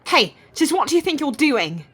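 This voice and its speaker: A woman's voice: high-pitched, big pitch swings, normal speed, no room sound. An actress playing a bold woman who confronts police with assertive challenges. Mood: challenging.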